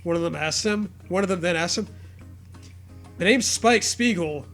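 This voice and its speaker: A man co-hosting a comedic fan fiction reading, profane, sarcastic and excitable, with laughter often in his delivery. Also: high voice, normal speed, faint music behind. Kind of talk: comedic read-aloud with riffing.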